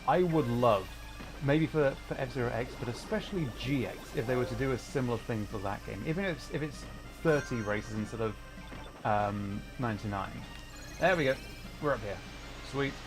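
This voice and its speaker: Male game streamer, mid-pitched, chatty and excitable, casual live commentary, clear game music behind. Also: normal speed. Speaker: video game livestreamer. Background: video game music.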